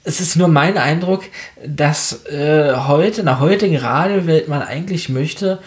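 High-pitched man in conversational interview. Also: unhurried. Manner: opinionated, colloquial. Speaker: interviewer and media journalist.

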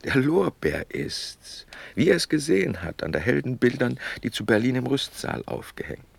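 A man's voice: mid-range voice, big pitch swings, normal speed, vocal fry, slightly rough, no echo, heavily acted. An actor playing a witty young nobleman and loyal count in a classical verse radio drama, speaking in a declamatory, wry way. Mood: wry, assuring.

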